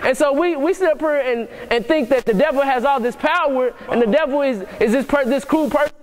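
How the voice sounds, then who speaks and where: A man's voice: very high voice, brisk. A young man giving an earnest, preaching-style motivational talk to a congregation, repeating and restarting his phrases as he builds emphasis. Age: young adult. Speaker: motivational speaker. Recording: echoey hall reverb.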